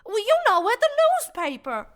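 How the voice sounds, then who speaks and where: A woman's voice: very high-pitched, big pitch swings, normal speed, a bit bright, exaggerated voice. A woman voicing a prim, tactless young wife of a reporter in a comedic radio play, speaking in a breezy, prim manner. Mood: prim chatter.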